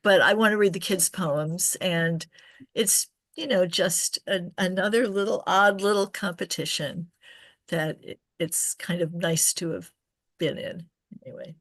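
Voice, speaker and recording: A woman poet chatting casually in a halting, digressive way, often restarting her phrases partway through. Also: lowish voice, a bit bright. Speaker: poet at an open reading. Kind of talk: casual, rambling anecdote.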